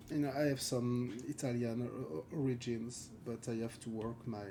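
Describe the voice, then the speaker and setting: A man from France with a French accent, thinking aloud and chatting with viewers while he paints live, halting and searching for words. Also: mid-range voice, a little hiss or hum. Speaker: concept art streamer. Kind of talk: live art stream chat.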